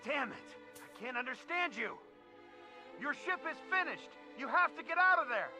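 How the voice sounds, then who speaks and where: An actor playing an earnest, hot-blooded young spacefarer in a video game, calling out urgently. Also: male voice, extremely high pitch, animated, moderate pace, music in the background. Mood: frantic, desperate. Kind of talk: video game cutscene acting.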